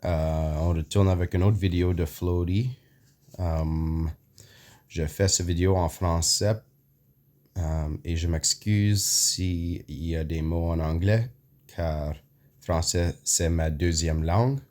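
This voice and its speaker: A man whose first language is English and who has an English Canadian accent. He walks viewers through an app in a casual tutorial, hesitant, full of fillers, clearing his throat often. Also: low voice, fairly even intonation, slow, a little mellow. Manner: hesitant, many fillers, mixes in English words. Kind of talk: casual screen walkthrough.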